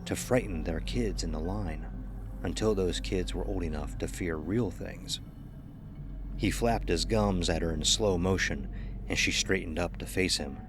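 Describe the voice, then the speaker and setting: A man's voice: low voice, measured pace, background noise. A man reading a scripted horror story as its narrator.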